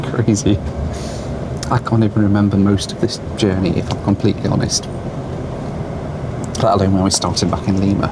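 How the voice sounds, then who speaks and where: A man filming himself on a long-distance bus, hoarse and run down, talking in a tired, low-key, chatty way. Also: flat delivery, normal speed, long pauses, heavy background noise. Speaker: travel vlogger. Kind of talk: travel vlog with voice-over narration. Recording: loud music under the voice.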